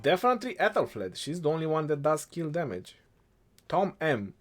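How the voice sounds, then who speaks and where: A young man with an Eastern European accent, answering chat questions on a game live stream in a relaxed way, thinking aloud as he goes. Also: fairly high pitch, moderate pace, faint music behind.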